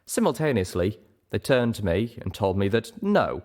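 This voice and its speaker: A man with a low voice narrates fiction. His scripted reading is expressive, with very lively intonation. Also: normal speed. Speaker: audiobook reader.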